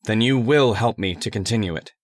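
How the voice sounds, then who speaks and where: A man playing an earnest, persuasive scientist's assistant in old-time radio horror style, speaking formally and deliberately. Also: lowish voice, no echo, studio quality, close to an everyday voice. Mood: grave, persuasive.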